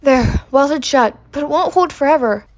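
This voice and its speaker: Unimpressed spaceship engineer, played by a woman, deadpan, slightly high, faint noise behind. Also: normal speed, highs cut off. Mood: dry, brisk. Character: dry, sarcastic female ship engineer, practical and unimpressed. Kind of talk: sci-fi sitcom audio drama acting.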